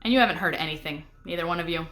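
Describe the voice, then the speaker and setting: A woman's voice: on the low side, rapid-fire, fairly bright tone, close to an everyday voice. A woman voicing a cool young demon girl in a storybook, knowing, scoffing and no-nonsense. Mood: stern.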